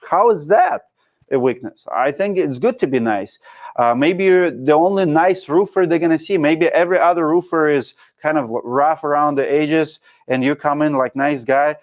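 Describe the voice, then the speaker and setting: Casting: Russian-accented man, thirties, livestream host, frank coach, slightly high voice. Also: moderate pace. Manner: frank, coaching, drops articles. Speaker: show host reading live chat. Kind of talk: casual livestream talk.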